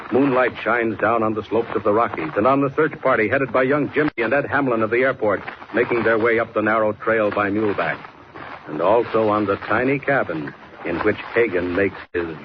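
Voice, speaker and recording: A man's voice: on the low side, moderate pace. A man announcing and narrating a 1940s radio serial in a dramatic, declamatory scripted style. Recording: muffled, noisy 1940s radio recording.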